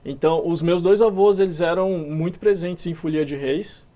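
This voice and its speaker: A young man from Minas Gerais, a musician being interviewed, talking in a relaxed way with many fillers and restarts. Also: on the high side, moderate pace. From Minas Gerais, Brazil. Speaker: musician guest. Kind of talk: casual interview talk.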